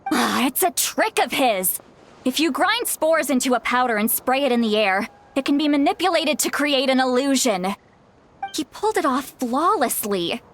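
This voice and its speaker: A woman's voice: high voice, expressive, moderate pace, fairly bright tone. A woman voicing a hot-tempered but caring old granny in a video game, scolding in an exasperated, emphatic way. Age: older.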